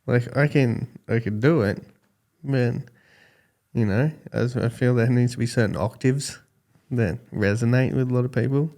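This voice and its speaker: An Australian man in his forties with a calm, smooth voice, chatting casually as an interview guest and thinking aloud in a halting way. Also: medium pitch. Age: 40s. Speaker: podcast guest, skateboarding judge.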